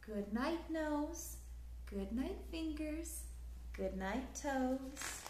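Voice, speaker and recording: A woman in her thirties reading a picture book aloud to young children in an expressive, sing-song way, recorded in a living room. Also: high voice, very slow, reverberant, background noise. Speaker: children's story time host. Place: small living room, indoors on a couch.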